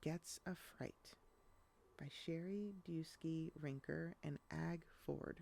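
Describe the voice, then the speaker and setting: A woman reading a rhyming children's picture book aloud in an expressive storytelling style. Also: sits low, slow, vocal fry.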